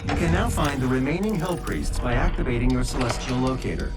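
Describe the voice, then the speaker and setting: Calm male AI guide voiced by a man, mid-pitched with widely moving intonation, instructional game dialogue over loud music. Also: brisk, very noisy, natural voice. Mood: calm, informative. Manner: matter-of-fact, instructional. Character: calm, helpful male ship computer intelligence. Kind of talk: scripted video game guidance. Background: loud game score.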